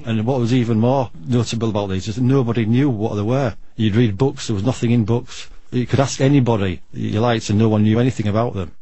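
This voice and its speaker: A man's voice: medium pitch, fairly even intonation, fast, hardly any pauses, warm tone, husky, dry recording. A middle-aged man, a radio hobbyist, recalling his past in an interview. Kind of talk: reminiscing in an interview.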